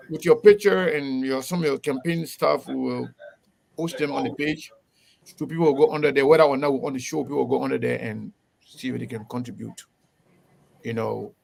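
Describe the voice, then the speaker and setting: Creaky-voiced man hosting. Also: medium pitch, rough recording. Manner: animated, laughing, repeats words. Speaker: host. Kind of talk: casual interview on a live show.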